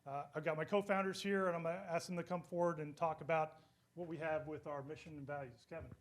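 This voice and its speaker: A man's voice: on the high side, fairly even intonation, quick pace. A middle-aged man, a nonprofit co-founder, speaking conversationally to a live audience. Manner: conversational, with fillers.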